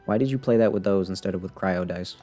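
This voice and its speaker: A man's voice: deep voice, fast talker, mellow, faint music behind. A man doing casual live game commentary, grumbling wryly and talking to himself and the game. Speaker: game streamer. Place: live stream, game music behind the voice.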